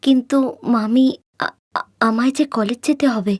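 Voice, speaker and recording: A woman's voice: fairly high pitch, moderate pace, no room sound, clean studio sound, pitched below the performer's own voice, natural voice. A woman voicing a timid, hurt orphaned college girl in a cartoon story, speaking in a meek, pleading way.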